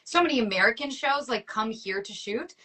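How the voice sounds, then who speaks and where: A native French-speaking woman from Canada, in her thirties, talks in a bright, slightly high voice. Her casual interview chat runs loose and friendly, filled with "like" and easy laughter. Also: lively intonation, fairly quick. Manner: chatty, peppered with "like", laughs often. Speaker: actress guest.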